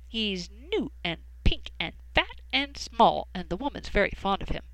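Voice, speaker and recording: A woman voicing a small bat in a storybook, speaking hushed and half-whispered. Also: mid-range voice, big pitch swings, a little slow, no room sound, low-quality recording.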